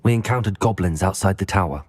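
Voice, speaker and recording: Low, husky wizard student. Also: male voice, fast talker, darkish tone. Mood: polite. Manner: deferential, formal. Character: polite, earnest young male wizard student. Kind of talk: video game voice acting.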